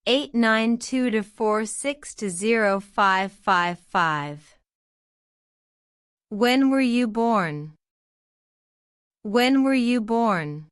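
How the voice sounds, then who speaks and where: Woman, practice-drill reader, repeats every line, unhurried, crisp and dry. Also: fairly high pitch, lively intonation, dry recording. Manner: reads each line twice, slow and deliberate. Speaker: citizenship test practice narrator. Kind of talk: slow repeated question-and-answer drill.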